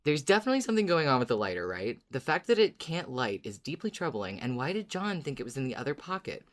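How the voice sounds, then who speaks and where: An androgynous-sounding podcast co-host speaks in a middling pitch. The delivery is casual and conversational, whether trading fan theories or reading listener mail aloud. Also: very animated, fast.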